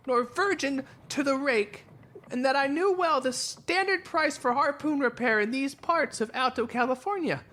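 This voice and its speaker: A woman plays a crew member reading a journal aloud in an audio drama. Her voice is high, and the phrasing is formal, pompous and old-fashioned. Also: fairly bright tone.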